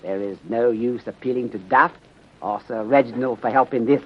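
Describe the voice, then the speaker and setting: Old muffled radio serial, guy acting wise polite chinese detective, middle aged, formal clipped phrasing, drops articles, medium pitch. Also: slightly rough, close to an everyday voice. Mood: grave, resolute. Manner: deliberate clipped syntax dropping articles, formal, aphoristic. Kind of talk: 1930s radio drama acting. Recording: dull muffled 1930s radio recording.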